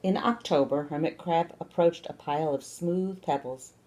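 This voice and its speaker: A woman reading a children's story aloud, with expressive, deliberate phrasing. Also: deep voice, a little hiss or hum.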